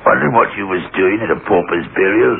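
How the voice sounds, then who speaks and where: An actor playing a gruff, suspicious working-class cemetery caretaker in a 1960s radio horror drama, blustering in colloquial, ungrammatical speech. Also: male voice, lowish voice, fast talker. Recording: lo-fi old radio recording, hissy.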